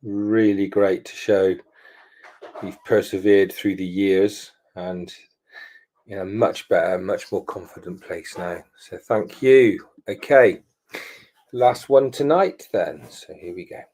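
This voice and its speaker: A man with a lowish voice speaks unhurriedly as a webinar host. He gives short, appreciative thanks and moves the session along. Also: steady tone, takes it slowly, a little mellow. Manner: brief, appreciative closing remarks.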